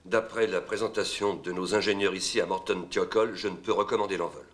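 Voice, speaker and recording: A man acting a measured engineering company executive in a dubbed docudrama, speaking formally and technically. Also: sits low, fairly even intonation, fairly bright tone.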